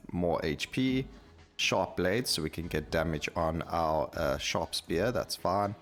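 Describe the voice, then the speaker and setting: A man's voice: low voice, gravelly, a bit bright. A man giving casual gameplay commentary as the host of a gaming video.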